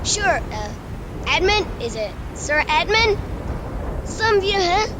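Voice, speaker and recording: Woman as an excitable little boy, very high. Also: slow, exaggerated voice. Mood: awed, excited. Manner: exclamatory, gleeful. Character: excitable, wide-eyed young boy daydreamer. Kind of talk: amateur radio play acting. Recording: noisy, echoey home recording.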